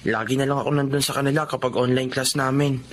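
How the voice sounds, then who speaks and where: A man's voice: medium pitch, fairly even intonation, fast, a little hiss or hum, natural voice. A male performer playing a timid, earnest schoolboy son in a Filipino radio drama. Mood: timid, pleading.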